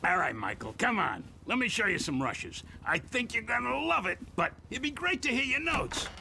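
A man's voice: very high-pitched, very animated, moderate pace, creaky, bright, forceful tone, a little hiss or hum, heavily acted. A man playing a showy, effusive older film producer in a video game. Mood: jovial, effusive. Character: grandiose old movie producer, smooth-talking and jovial. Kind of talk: video game cutscene acting.

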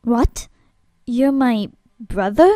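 A woman acting a bewildered, defensive girl in an amateur audition for a drama series, with dramatic, deliberate delivery. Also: on the high side, warm tone, dry recording, studio quality. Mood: disbelieving.

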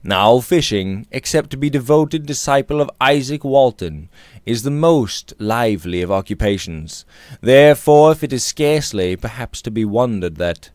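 A man in his thirties reading a Victorian novel aloud with theatrical, deliberate phrasing, close to the microphone in a small room. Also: mid-range voice, expressive, darkish tone, no room sound. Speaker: audiobook reader. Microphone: close studio mic on boom arm.

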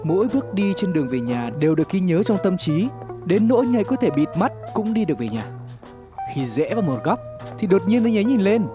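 A man's voice: high voice, big pitch swings, fairly quick. A man reads scripted horror story narration in a dramatic, suspenseful storytelling manner. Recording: music behind the voice. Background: background music.

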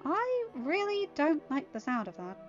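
A blunt, sarcastic teenage girl of magic powers as read by a woman in an audio story. Her voice is very high and irritated, on a dull lo-fi recording with music behind it. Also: huge pitch swings, measured pace, exaggerated voice. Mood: exasperated.

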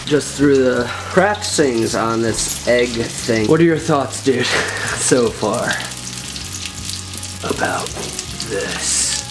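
A man's voice: medium pitch, animated, drawn-out words, very noisy. A young man joking and rambling while cooking in a small home kitchen, filmed on a handheld camera. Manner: rambling, joking, laughing often. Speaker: vlogger filming a cooking video. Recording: echoey kitchen, music behind, off-mic.

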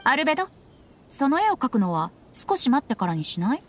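A woman's voice: high-pitched, very animated, brisk. A woman voicing a carefree, playful teenage boy bard in an anime-style video game, with a breezy manner. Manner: breezy, playful, self-admiring. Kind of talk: anime game dialogue acting.

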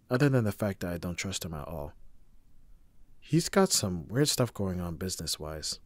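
Young male bodyguard played by a man for roleplay audio drama: deep-ish, husky, abrasive, teasing, secretly caring. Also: no room sound. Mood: calm, serious. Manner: sardonic, abrasive yet reassuring.

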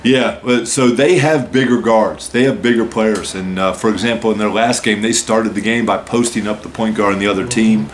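A man in his forties, a head basketball coach, calmly answering postgame questions at a press-room table, explaining with many "uh" and "so" openers. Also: on the low side, normal speed, hardly any pauses, slight background noise. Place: press room with a team backdrop, seated at a table.

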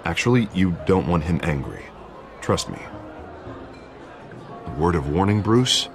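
Smooth ambitious politician, game cutscene, lowish lively voice, pushy and persuasive. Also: male voice, unhurried, crowd noise. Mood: persuasive, warning. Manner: slick, assertive, persuasive. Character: smooth-talking, ambitious politician in his thirties. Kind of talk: video game acting. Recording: music behind the voice.

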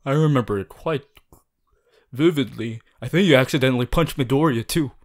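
Casting: male actor as earnest male student class president, fussy, caring, mid-pitched, for an ASMR roleplay addressed to the listener. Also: brisk, lightly acted. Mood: gently nagging. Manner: hesitant, repeating himself, gently bossy.

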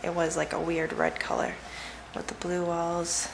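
A woman's voice: fairly low pitch, completely flat delivery, a little slow, vocal fry. A young woman vlogging casually as she walks through an empty house, rambling with lots of fillers, filming on a handheld camera. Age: young adult. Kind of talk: casual vlog. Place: empty house with bare rooms, indoors. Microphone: handheld camera at arm's length. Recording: echoey empty room, audible noise.

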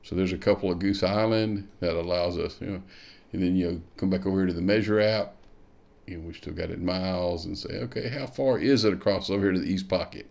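Gravelly low-voiced man musing. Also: quick pace, a little mellow. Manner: thinking aloud, musing with hmms while clicking. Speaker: kayak fishing show host. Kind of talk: screen-share tutorial walkthrough.

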